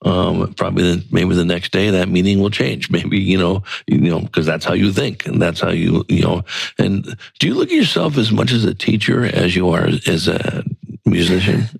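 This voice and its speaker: Gravelly-voiced man hosting an interview. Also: low voice, moderate pace, no room sound, low-quality recording. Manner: affirming, filler-heavy, rambling. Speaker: podcast host.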